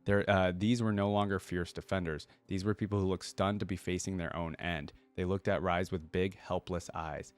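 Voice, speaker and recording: Low-pitched man, casual reaction host. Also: fairly even intonation, normal speed, hardly any pauses, clean tone, dry recording. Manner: informal, exclamatory, often trailing off and rephrasing. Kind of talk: casual reaction commentary mixed with reading a story aloud.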